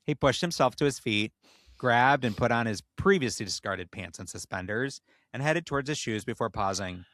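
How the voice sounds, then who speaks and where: A man's voice: medium pitch, expressive, moderate pace, fairly bright tone, dry recording. A man co-hosting a comedy podcast, reading a story aloud in dramatic narration. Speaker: podcast co-host. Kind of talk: comedic dramatic reading of erotic fan fiction.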